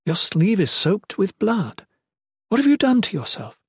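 A man's voice: high-pitched, sing-song, a little slow, husky, no room sound, clean studio sound, voice pushed up, exaggerated voice. A man voicing a commanding young queen in a fantasy audiobook, imperious and pleading, desperate and sorrowful. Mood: concerned.

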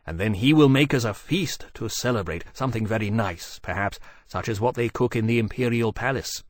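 A man's voice: lowish voice, fairly quick, dry recording, studio quality, lightly acted. A man voicing an earnest, eager, somewhat bossy male dragon with formal, precise phrasing in an audiobook. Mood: hopeful.

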